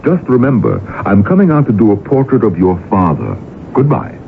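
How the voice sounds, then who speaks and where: A man's voice: fairly low pitch, big pitch swings, fairly quick. An actor playing an urbane male portrait painter in a radio drama, clipped and wry. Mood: wry, friendly. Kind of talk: 1980s radio drama acting. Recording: noisy lo-fi old radio broadcast.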